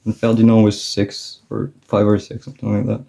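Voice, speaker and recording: A man's voice: on the low side, completely flat delivery, a little fast, gravelly, mellow. A young man chatting in a rambling way with fillers and laughs while he draws for his vlog. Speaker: vlogger. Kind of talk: casual chat while drawing.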